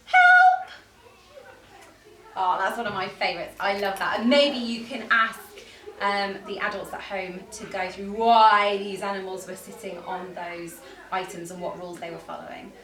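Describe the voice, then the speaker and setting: A woman's voice: medium pitch, fairly even intonation, moderate pace, roomy sound, distant mic, a crowd in the background. A woman teacher speaking expressively to young children as she introduces and reads a story. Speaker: primary school teacher. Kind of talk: children's story read-aloud and greeting.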